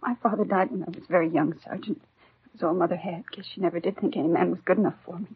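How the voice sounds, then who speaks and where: An actress playing a polite, grieving young widow in a 1950s American radio drama, earnest and genteel. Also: female voice, lowish voice, steady tone, racing, breathy edge. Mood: apologetic. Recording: narrow-band, noisy 1950s radio recording.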